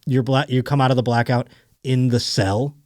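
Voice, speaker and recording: A man from Buffalo, New York, hosting a podcast in easy chat, quick to joke and laughing often. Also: mid-range voice, fairly even intonation, a little mellow, no echo, studio quality. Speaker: podcast host.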